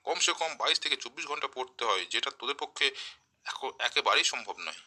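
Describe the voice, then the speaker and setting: Man voicing a cartoon schoolmaster, stern, exasperated, mocking, very piercing tone, mid pitch. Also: lively intonation, brisk, sharp tone. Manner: scolding, mocking. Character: stern, sarcastic, exasperated male village schoolteacher. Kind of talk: comic cartoon voice acting.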